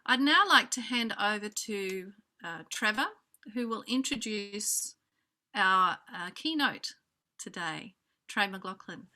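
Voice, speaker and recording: An Australian woman hosting an online conference over a video call, giving introductions with frequent pauses and fillers. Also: mid-range voice, lively intonation. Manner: warm hesitant introductions with many fillers. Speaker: conference host. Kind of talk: welcoming remarks on a video call.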